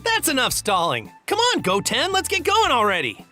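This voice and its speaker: Bright, cheerful grown male fighter. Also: high-pitched, huge pitch swings, normal speed, faint music behind, heavily acted. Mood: playful, coaxing. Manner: folksy, playful, coaxing. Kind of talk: anime game dubbing.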